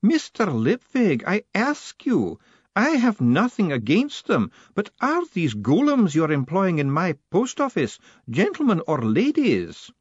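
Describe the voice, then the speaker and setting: A man's voice: huge pitch swings, a little slow, slightly rough, no echo, highs cut off, heavily acted. A man doing a stern, piercing old clerk woman. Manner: prim, demanding, high and piercing. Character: stern formidable older woman, post office clerk.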